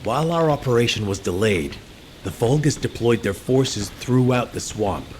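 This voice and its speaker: An actor playing an earnest military field officer in a game, briefing in a businesslike, matter-of-fact way. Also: male voice, medium pitch, animated, normal speed, darkish tone, slight background noise, close to an everyday voice. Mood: businesslike, concerned. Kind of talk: scripted game dialogue.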